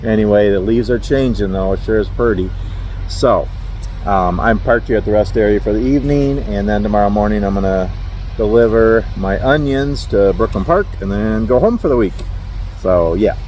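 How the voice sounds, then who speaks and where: A man's voice: on the low side, normal speed, darkish tone, slight background noise, low-quality recording. A middle-aged man from Minnesota with a Minnesotan accent, talking casually to camera from his parked truck at a rest area, rambling and chuckling at himself. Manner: rambling, self-deprecating, chuckles often. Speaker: truck driver vlogger.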